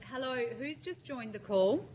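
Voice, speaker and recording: A woman's voice: high voice, lively intonation, moderate pace, darkish tone, a little hiss or hum. A woman chairing a parliamentary committee hearing, polite and procedural.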